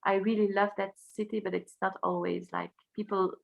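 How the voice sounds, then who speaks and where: A woman from Brussels with a Belgian French accent, a native French speaker talking casually as an interview guest in halting English, searching for words. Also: medium pitch, level tone, gravelly, lo-fi sound. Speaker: interview guest, singer and teacher.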